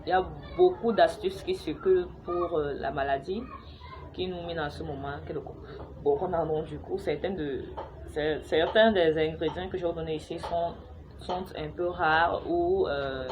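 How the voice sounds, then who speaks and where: A woman's voice: low voice, normal speed, noisy background. A young woman from Cameroon, chatting to camera at home about a home remedy, hesitant, with many fillers and restarts. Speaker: vlogger sharing a home remedy. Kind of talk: casual how-to talk to camera. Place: home, with noisy neighbours and children playing outside.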